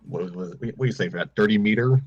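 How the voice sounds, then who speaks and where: A man's voice: fairly low pitch, slightly rough, lo-fi sound. A man reading fan fiction aloud on a live stream, breaking off for comic asides.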